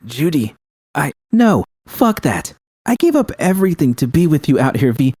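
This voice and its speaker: A man's voice: a little high, sing-song, normal speed, a little mellow, husky, dry recording, studio quality, pitched above the performer's own voice, full character voice. A man voicing a hurt, bitter young woman in a story, a betrayed lover who accuses with venom and swearing. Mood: furious, hurt.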